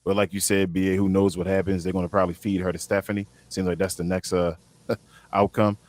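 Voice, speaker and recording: Man co-host with a very deep, dry, close voice and a relaxed, meandering delivery. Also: quick pace, dry recording. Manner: laid-back, rambling, many fillers and restarts. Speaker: podcast co-host. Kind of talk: casual panel chat.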